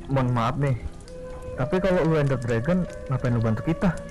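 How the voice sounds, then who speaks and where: A man's voice: medium pitch, expressive, a little fast, music in the background, natural voice. A man playing a blunt, suspicious warrior in a fantasy game roleplay.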